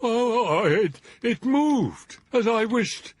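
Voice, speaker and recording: A man reading aloud and voicing a shaken old father in a spooky story, with a high, quavering, slow voice. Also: animated, husky, exaggerated voice. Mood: terrified.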